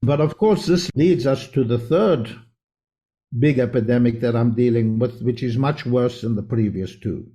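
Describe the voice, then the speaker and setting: Mellow-voiced man explaining at length. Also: medium pitch, normal speed. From South Africa, raised in Israel. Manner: earnest, explanatory, long winding answers. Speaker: guest homeopath. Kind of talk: conversational interview.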